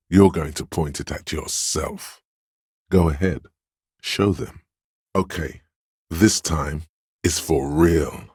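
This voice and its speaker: A profoundly low, clean bass plays the domineering voice of authority inside a cop's head in a video game. He is imperious and measured, scolding and goading like a superior giving orders. The sound is dry and close. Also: slightly rough, dry recording, full character voice. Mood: urgent, goading. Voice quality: very deep, resonant bass. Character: commanding, domineering inner voice of authority in a cop's mind. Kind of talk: dramatic game narration, inner-voice lines.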